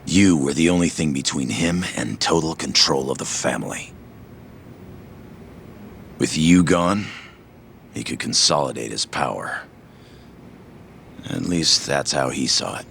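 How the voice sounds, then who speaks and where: Very deep, gravelly, bitter gangster. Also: male voice, animated, a little slow, long pauses, fairly bright tone, a little hiss or hum, full character voice. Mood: subdued, resigned. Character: bitter, defiant yakuza gangster with a wounded loyalty. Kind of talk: English video game dub, dramatic acting.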